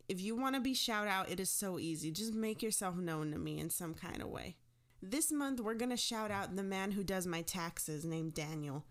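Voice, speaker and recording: A woman hosting her audio drama podcast, chatting casually to listeners in a chirpy, informal, self-deprecating way. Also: lowish voice. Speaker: podcast host and show creator.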